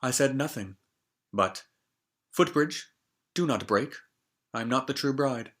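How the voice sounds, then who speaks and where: A man voicing a meek young maiden in a storybook reading, gentle and solemn in manner. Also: medium pitch, a little slow. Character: meek, earnest young maiden, true bride.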